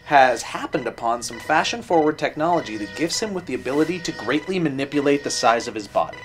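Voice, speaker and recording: A man's voice: mid-range voice, animated, fast talker, faint music behind. A man in his thirties hosting a comedic quiz game and reading clues aloud. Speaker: game show host.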